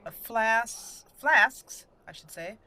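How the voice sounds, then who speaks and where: A woman's voice: fairly high pitch, lively intonation, very slow. A woman hosting her gaming channel, chatting casually and thinking aloud as she plays. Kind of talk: casual let's-play commentary. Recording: voice-over over loud game music. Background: game music.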